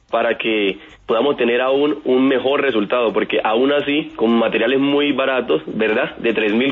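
A man's voice: medium pitch, breathy edge. A man in his twenties from Antioquia with a mixed Antioquian and coastal Colombian accent, answering radio interview questions earnestly with many fillers. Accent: Antioquian with Colombian Caribbean coast touches. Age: 20s. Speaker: inventor guest. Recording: tinny phone line.

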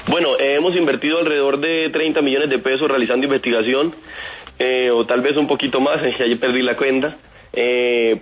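A man's voice: mid-range voice, slightly rough. A man in his twenties from Antioquia with a mixed Antioquian and coastal Colombian accent, answering radio interview questions earnestly with many fillers. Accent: Antioquian with Colombian Caribbean coast touches. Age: 20s. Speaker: inventor guest. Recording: tinny phone line.

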